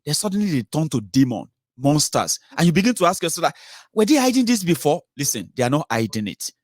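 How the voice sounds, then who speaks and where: A man's voice: on the high side, very animated, quick pace, no room sound, clean studio sound. A man with a Nigerian accent hosting a political phone-in, talking conversationally and emphatically, with rhetorical questions and tags. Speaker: host of a political phone-in show. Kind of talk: conversational political commentary with callers.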